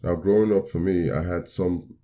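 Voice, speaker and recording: A man with a very deep voice hosts his own motivational podcast. His tone is mellow and warm. He speaks in an upbeat, earnest and measured way, slowing down when he repeats definitions. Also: fairly even intonation. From Nigeria. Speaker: podcast host. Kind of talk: solo motivational podcast talk.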